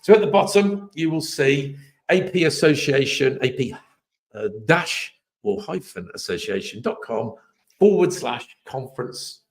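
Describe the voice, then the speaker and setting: A man with a slightly hoarse voice hosts a live online show. He talks in a chatty, upbeat way, rambling and restarting his sentences as he goes. His voice sits at a middle pitch. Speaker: host. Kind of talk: live webcast chat.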